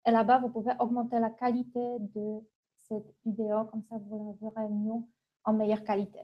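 A middle-aged woman from Poland with a Polish accent, presenting an informal webinar in a halting, conversational way. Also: a little high, little pitch movement. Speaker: university international relations manager, webinar presenter. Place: small office, indoors. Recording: dull, lo-fi webcam audio.

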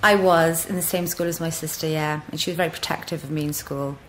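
A woman's voice: low-pitched, fairly even intonation, quick pace, slight background noise, low-quality recording. A middle-aged Welsh woman, a podcast guest, telling stories frankly with easy laughs. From Wales. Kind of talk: casual chat. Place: office.

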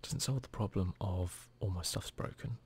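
A man doing hushed, murmured ASMR commentary over a video game, talking to himself close to the microphone. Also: sits low, moderate pace, slight background noise. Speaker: gaming video host.

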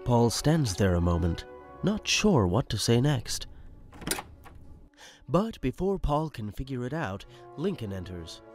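A man's voice: mid-range voice, big pitch swings, unhurried, a little mellow, soft background music. A man narrating a radio play in the present tense with a conversational storytelling manner.

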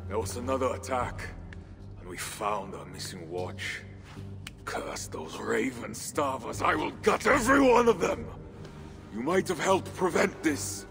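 A vengeful Viking warlord in a video game. His gravelly voice swings widely, accusing and menacing. Faint music sits underneath. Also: medium pitch, expressive, measured pace. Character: wrathful proud Viking warlord bent on revenge. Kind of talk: dramatic game acting.

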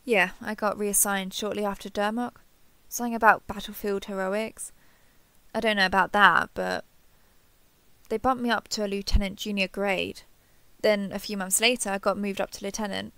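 A woman performs a female alien starship captain in a sci-fi audio roleplay. Her voice sits at a medium pitch with plenty of fry, recorded very dry. She is earnest and sentimental, speaking as if to someone beside her. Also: level tone, normal speed, sharp tone, dry recording. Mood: fond, flustered. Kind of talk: roleplay audio drama, one-sided dialogue.

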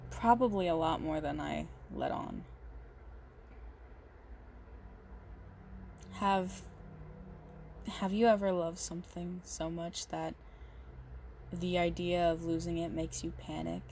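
Yandere goth girlfriend played by a woman for ASMR roleplay: lowish female voice, unhurried, possessive, sweet with a menacing edge. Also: fairly even intonation, creaky, darkish tone, slight background noise. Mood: tender, anxious. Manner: slow, halting, doting, menacing under sweetness. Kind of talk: intimate ASMR roleplay monologue.

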